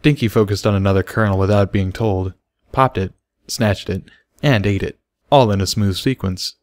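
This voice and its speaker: A man's voice: on the low side, fairly even intonation, moderate pace, a little mellow, no echo. A man reading scripted story narration as an audiobook reader.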